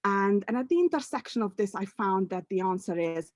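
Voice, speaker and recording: A woman's voice: medium pitch, lively intonation, fairly quick, darkish tone, no echo, studio quality. A middle-aged woman from Hungary, a native Hungarian speaker, giving an online webinar presentation as a language coach, hesitant, with many fillers and restarts.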